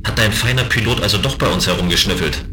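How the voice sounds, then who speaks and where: A man's voice: on the low side, fast talker, background noise, natural voice. A man playing a stern space guardian in a science fiction audio drama, firm and commanding. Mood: stern, suspicious. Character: stern male space guardian enforcing a planet's quarantine.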